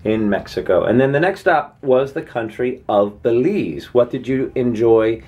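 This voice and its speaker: A man's voice: mid-range voice, measured pace, darkish tone, breathy edge, lo-fi sound. A man behind the camera hosting a travel vlog, narrating and asking leading interview questions in a small cabin. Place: small ship cabin, indoors. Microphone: camera mic, behind the camera.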